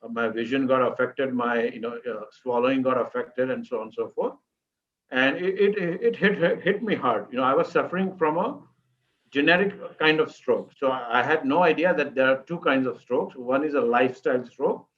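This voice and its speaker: A man in his fifties with an Indian accent, telling his life story as an interview guest in halting, repetitive phrases. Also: medium pitch. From Mumbai, India. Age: 50s. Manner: halting, repetitive phrasing with frequent you-knows. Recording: dull lo-fi call audio, music underneath.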